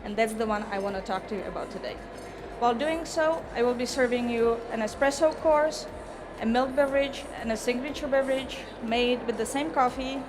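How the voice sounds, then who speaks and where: A woman with a Czech accent, a native Czech speaker, giving a careful, rehearsed barista competition presentation on stage. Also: fairly high pitch. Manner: measured, careful, formal. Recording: noisy event venue ambience.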